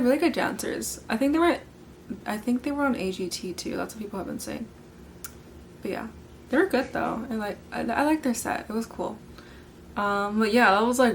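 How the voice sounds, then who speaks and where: A teenage girl hosting her own podcast, her voice worn hoarse and scratchy from yelling. She chats along eagerly at a mid pitch, stuffing her sentences with "like" and little asides, with a soft hum of ambience behind her. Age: late teens. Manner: bubbly, filler-heavy, says "like" constantly. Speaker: podcast host. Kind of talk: casual chat.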